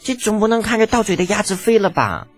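A woman voicing an excitable, greedy young crown prince in an audiobook, with a dramatic, exclamatory manner. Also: lowish voice, moderate pace, breathy edge, soft background music. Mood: dismayed. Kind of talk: audiobook character acting.

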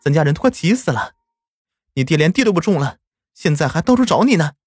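A man voicing an angry mother who scolds loudly and sharply in a storybook tale. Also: high-pitched, big pitch swings, quick pace, dry recording, clean studio sound, voice pushed up. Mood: scolding. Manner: loud, scolding.

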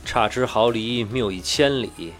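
A man's voice: medium pitch, a little slow, faint music behind, close to an everyday voice. A man playing a despairing, bitter male scientist in a radio drama, grave and resigned.

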